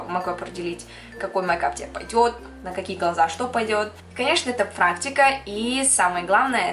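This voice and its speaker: A woman's voice: lowish voice, a bit bright, reverberant. A young woman from Kyrgyzstan who works as a makeup artist, chatting casually to camera and answering viewers' questions, sprinkling in English words and laughing now and then.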